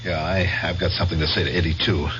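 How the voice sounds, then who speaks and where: An actor playing a troubled, guilt-ridden war veteran near forty in an old-time radio drama, speaking earnestly and hesitantly. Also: male voice, low voice, steady tone, fast, a bit bright. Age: 30s. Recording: muffled, noisy radio broadcast recording, humming.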